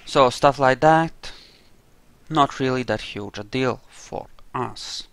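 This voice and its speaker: Slow-paced man doing casual gaming commentary. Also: medium pitch, clear, resonant tone, dry recording. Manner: dry, wry sarcasm; rambling, thinking aloud. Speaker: gamer narrating a roleplay playthrough.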